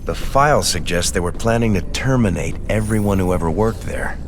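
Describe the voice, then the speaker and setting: An actor playing an earnest second-in-command in a video game, giving formal, measured briefings. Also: male voice, deep voice, noisy background. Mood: serious briefing. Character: earnest, dutiful military second-in-command, adult man. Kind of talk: video game cutscene acting. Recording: music behind the voice.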